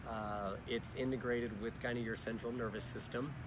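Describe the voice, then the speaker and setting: A hoarse middle-aged man, his voice worn out, explaining things plainly and informally as a company's chief scientific advisor in an interview at a convention. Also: medium pitch, steady tone, normal speed, background noise. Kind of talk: casual explanatory interview answers. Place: busy convention venue. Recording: loud music playing behind. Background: music.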